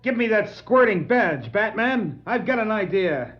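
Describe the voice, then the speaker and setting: An actor playing an eager, wisecracking teenage sidekick in a 1960s superhero record drama. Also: male voice, high-pitched, sing-song, measured pace, lo-fi sound. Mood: cheeky. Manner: exclamatory, wisecracking. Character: eager, cheeky teenage boy sidekick hero. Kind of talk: 1960s radio-play superhero acting.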